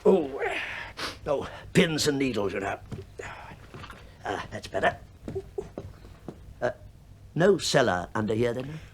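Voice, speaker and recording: An actor playing a chatty, falsely bumbling middle-aged English police inspector who needles politely in a British radio drama. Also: male voice, mid-range voice, very animated, slow, pauses often, slight background noise. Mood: genial rambling. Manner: rambling, deferential, needling small talk.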